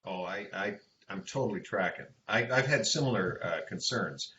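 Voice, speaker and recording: A man's voice: deep voice, lively intonation, moderate pace, pushed and bright. Male announcer guest, casual and conversational, heard in a very roomy space with faint noise behind. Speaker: guest, stadium public address announcer. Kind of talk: casual roundtable chat. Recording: echoey room, dull sound, background noise.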